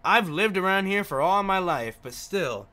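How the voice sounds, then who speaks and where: Male reader as effusive young country cabbie, visual novel dialogue, bright voice, friendly and talkative. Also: high voice, expressive, normal speed, voice pushed up. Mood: chatty. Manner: effusive, chatty.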